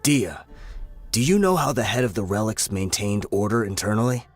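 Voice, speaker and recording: A level-headed desert brigand with a low voice. He is patient and weary, explaining with measured regret over game music. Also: animated, slightly rough. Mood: grave, explaining. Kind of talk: video game voice acting. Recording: music behind the voice.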